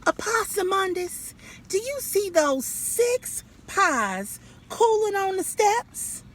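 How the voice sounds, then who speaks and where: A woman voicing an exasperated mother possum from a children's storybook, scolding dramatically in drawn-out, emphatic phrases. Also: extremely high pitch, big pitch swings, a bit bright, voice pushed up, heavily acted. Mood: stern warning. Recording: clear background noise, outdoors.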